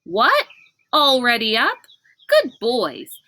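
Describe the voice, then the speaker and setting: A woman's voice: fairly high pitch, huge pitch swings, takes it slowly, bright, forceful tone, voice pushed up, exaggerated voice. A woman voicing a cheerful, dim-witted, chatty male school minder in a children's storybook, breezy and guileless. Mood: brisk, chirpy. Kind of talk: children's storybook read aloud.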